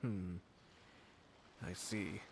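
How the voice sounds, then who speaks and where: An actor playing a composed, shrewd young nobleman commissioner in a video game, measured, courteous and coolly formal. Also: low-pitched, fairly even intonation, gravelly, darkish tone, a little hiss or hum, full character voice. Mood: coolly polite. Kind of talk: scripted video game dialogue. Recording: game music behind.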